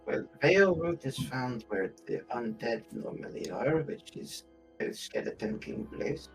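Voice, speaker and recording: A man's voice: mid-range voice, big pitch swings, a little slow, gravelly, over music, poor audio. A man playing in a tabletop roleplay game, talking casually in and out of character.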